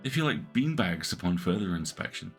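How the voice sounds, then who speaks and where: Man, deep and gravelly, narrating a text game in a measured, moody read. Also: sing-song, soft background music. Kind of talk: reading interactive fiction aloud on a stream.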